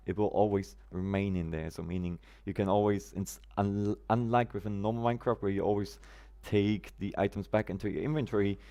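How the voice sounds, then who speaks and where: A man's voice: on the low side, steady tone, a little fast, vocal fry, warm tone. A man from Germany, chatting casually on a gameplay live stream, greeting viewers by name in quirky, roundabout phrasing.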